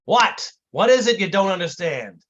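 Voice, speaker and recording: Short-tempered old-time male comic, woman performer, streetwise, growly, slangy storybook narration, big pitch swings. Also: sing-song, moderate pace, lo-fi sound. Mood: exasperated. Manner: growling, slangy tough-guy storytelling with bad grammar.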